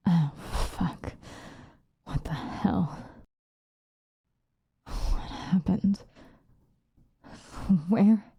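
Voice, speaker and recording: A woman playing a centuries-old female vampire, possessive and vengeful, in a soft, breathy, intense ASMR roleplay. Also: on the low side, dry recording, heavily acted. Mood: dazed. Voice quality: soft, breathy, often whispered. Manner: intense, dramatic, slow with long pauses.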